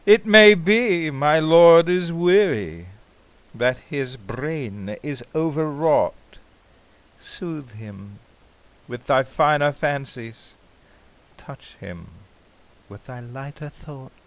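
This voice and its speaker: A man giving a theatrical, impassioned recitation of poetry, swinging between hushed and ringing delivery. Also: a little high, drawn-out words. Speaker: amateur poetry reader.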